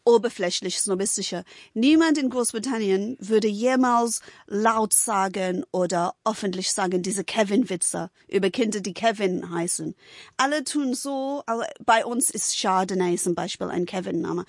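A woman's voice: mid-range voice, normal speed, no room sound, studio quality. A middle-aged woman from England with an English accent, a non-native speaker telling things casually and informally, often adding little tag questions. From England, United Kingdom. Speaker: interview guest. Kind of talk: casual conversational storytelling.

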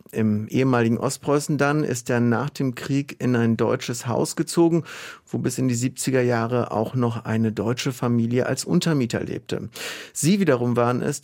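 A male podcast host reads his prepared introductions and questions in a steady, measured voice. His pitch sits at an ordinary middle level for a man. Each question is delivered calmly and evenly. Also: creaky. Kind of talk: scripted interview introductions.

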